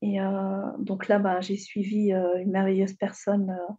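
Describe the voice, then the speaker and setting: Soft-spoken dark-toned woman from Réunion. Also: medium pitch, completely flat delivery, measured pace, dull audio. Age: 30s. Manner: hesitant, frequent fillers, gentle storytelling. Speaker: guest. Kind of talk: interview, personal story.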